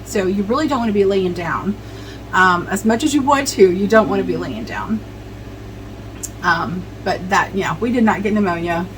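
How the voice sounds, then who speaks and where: A woman's voice: medium pitch, expressive, normal speed, a little hiss or hum, rough recording. A middle-aged woman chatting casually at home while working, rambling with many ums and restarts. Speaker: vlogger. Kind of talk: casual chat while preserving herbs.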